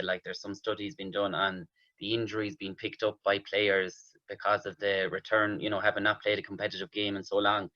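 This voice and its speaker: An Irish man on a sports panel, giving measured, hedging analysis on a dull-sounding recording. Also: fairly low pitch, sharp tone.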